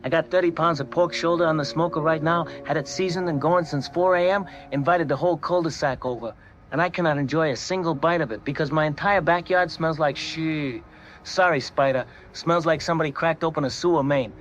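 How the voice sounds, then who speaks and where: A man's voice: on the high side, a little fast, fairly bright tone, lo-fi sound, lightly acted. A man playing an indignant, blunt homeowner phoning in a complaint to a radio show in an audio drama. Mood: exasperated. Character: exasperated, talkative male homeowner calling radio show. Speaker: radio caller. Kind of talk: scripted phone-in complaint.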